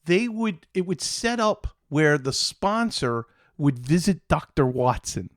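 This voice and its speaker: A middle-aged man from Philadelphia with an American accent, hosting a casual podcast in a halting, meandering, enthusiastic way. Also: on the high side, sing-song, no room sound, studio quality. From Philadelphia, United States. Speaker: show host.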